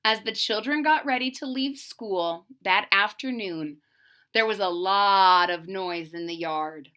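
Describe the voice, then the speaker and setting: A woman reading a picture book aloud to children in a dramatic way, pausing to put questions to young listeners. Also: mid-range voice, pushed and bright, clear, resonant tone.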